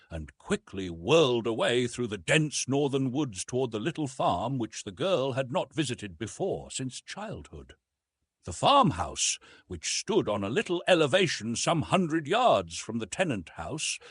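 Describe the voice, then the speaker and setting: A male audiobook narrator reads scripted fiction in a husky voice. His pitch moves in a lively way through the prose, and the recording is very dry and close. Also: dry recording.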